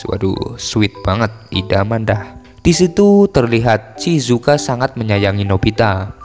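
A man's voice: medium pitch, a little mellow. A man narrates a film recap from a script, in an informal storytelling manner with casual slang asides. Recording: voice-over with long reverb over music. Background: music.

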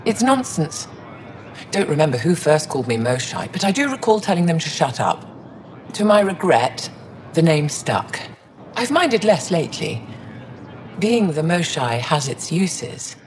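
A woman voicing an elderly, wise and wry alien scholar in a video game, speaking in a measured, dignified way. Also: deep voice, big pitch swings, slight background noise. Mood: dryly amused. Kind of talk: video game dialogue acting.

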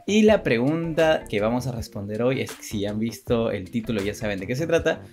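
A man's voice: medium pitch, expressive, a little fast, a little mellow. Young man chatting casually over music. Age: young adult. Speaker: co-host of a two-person channel. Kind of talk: casual unscripted chat. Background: background music.

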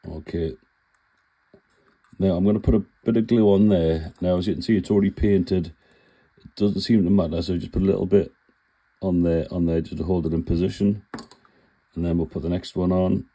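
A man's voice: low voice, steady tone, moderate pace, long pauses, warm tone, slightly rough. A man with a cold, chatting plainly through a scale model build and often correcting himself. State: full of cold. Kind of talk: casual build tutorial.

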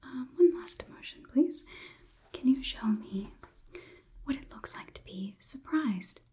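A woman's voice: sits high, lively intonation, unhurried, warm tone. A woman voicing a curious, detached robot overlord in an ASMR roleplay, with stilted formal phrasing, recorded close to the mic. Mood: detached curiosity. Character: curious, clinical female robot overlord studying human emotions.

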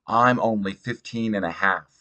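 A man reading a novel aloud in an expressive storytelling style. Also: lowish voice, normal speed. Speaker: audiobook reader.

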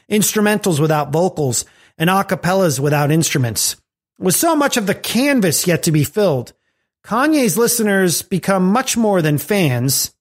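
A man hosting a podcast, reading scripted commentary with wry asides. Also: sits high. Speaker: podcast host. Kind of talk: scripted commentary on tech and music.

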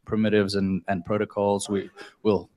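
Low-voiced man listing discussion topics. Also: little pitch movement, moderate pace, highs cut off. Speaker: topic table presenter. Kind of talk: brief presentation at a workshop. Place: large conference hall.

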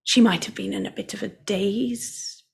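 Woman acting a husky, flustered woman. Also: mid-range voice, fairly even intonation, normal speed, heavily acted. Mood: anxious, urgent. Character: flustered woman anxiously searching for her missing sister. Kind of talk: radio play acting.

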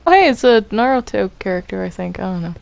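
A woman's voice: mid-range voice, sing-song, quick pace, creaky, no room sound. A woman chatting casually as she hosts a multiplayer game roleplay session. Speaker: host of a Minecraft roleplay series. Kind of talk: casual gaming chat.

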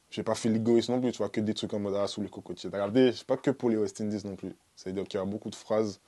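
Low-voiced young man, casual and slangy. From French Antilles (born in Guadeloupe, lived in Martinique and French Guiana). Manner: slangy, informal, peppered with "tu vois" and shout-outs. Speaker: recording artist being interviewed. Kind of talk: casual interview talk to camera. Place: small dark recording studio, indoors.

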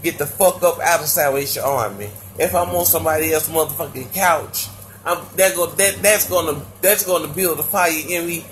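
Woman, deep voice, stammering, profane rant, phone video call, noisy line. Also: normal speed. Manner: stammering, restarts often. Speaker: live stream call-in guest. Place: indoors, lying on a couch.

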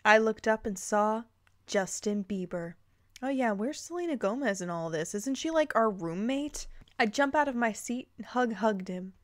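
A woman reading a story aloud informally, breaking in with expressive, amused reactions and exclamations. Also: fairly high pitch, normal speed, no echo, studio quality. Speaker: host reading fan fiction aloud with commentary.

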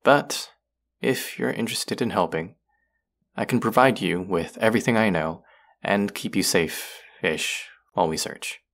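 Guy acting as a young vampire dude in an audio roleplay, really low n creaky, earnest n kinda wry. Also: unhurried, a bit bright, full character voice. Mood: earnest pleading. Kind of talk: intimate audio roleplay drama.

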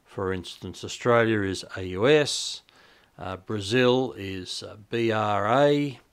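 A man in his fifties with a fairly low voice explains things step by step. He speaks in a measured, instructional way, pronouncing terms carefully like a review presenter. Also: smooth. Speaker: presenter of a shortwave radio review. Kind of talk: explanatory tutorial to camera and over screen demo. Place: small indoor home radio room.

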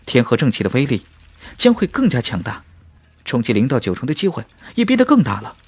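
A man's voice: medium pitch, wildly swooping pitch, a little fast, breathy edge, no room sound. A man voicing a confident, ambitious young warrior in a fantasy audiobook, with an emphatic, dramatic delivery. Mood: pleased, excited. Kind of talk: audiobook character speech and inner thoughts.

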